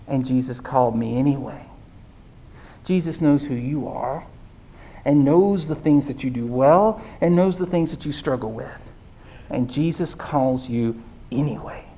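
A preacher with a squeaky voice giving a sermon. The delivery is chatty and informal, tossing in quick questions to the congregation. The pitch sits in an ordinary middle range. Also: warm tone, rough recording. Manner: conversational, keeps asking "right?". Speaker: pastor.

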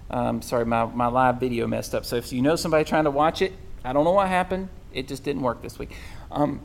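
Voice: mid-range voice, darkish tone. Chatty preacher tossing out "right?". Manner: conversational, with frequent "right?" and asides; halting restarts and fillers. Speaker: pastor. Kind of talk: sermon.

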